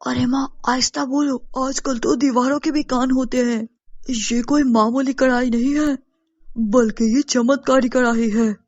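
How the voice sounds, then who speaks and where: A woman's voice: high voice, steady tone, measured pace, a little mellow, dry recording, highs cut off. A woman voicing an eager, poor young village boy in a storybook tale. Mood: hushed, proud. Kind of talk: storybook character acting.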